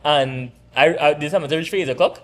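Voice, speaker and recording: A man in his thirties from Trinidad, with a Trinidadian accent, talking relaxed and rambling as a barbershop owner in an interview. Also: a little high, a little fast. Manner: relaxed, rambling with restarts and laughs. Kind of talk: casual interview chat.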